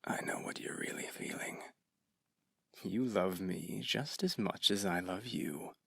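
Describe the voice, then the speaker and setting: A man's voice: low voice, a little slow, gravelly, fairly bright tone, husky, dry recording, full character voice. A man playing an obsessive, possessive kidnapper in an intimate ASMR roleplay, sweetly menacing and teasing, often dropping into whispers. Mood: smitten, teasing.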